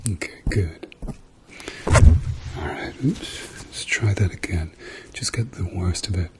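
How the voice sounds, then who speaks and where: A man doing a soft-spoken, often whispered ASMR roleplay as a gentle, reassuring doctor with a dryly playful manner. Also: sits low, takes it slowly, very noisy. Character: calm, reassuring male doctor treating a patient.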